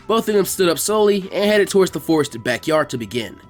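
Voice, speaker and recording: A man's voice: high voice, big pitch swings, fairly quick, faint music behind. A man reading scripted fan fiction aloud as a story narrator.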